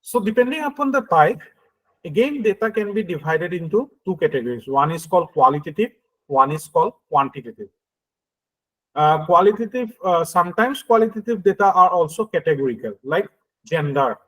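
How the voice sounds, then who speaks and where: Higher-pitched Indian man giving a lecture. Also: expressive, a bit bright, poor audio. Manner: halting and hesitant, frequently checks understanding with "okay" and "right". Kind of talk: explanatory lecture with everyday examples.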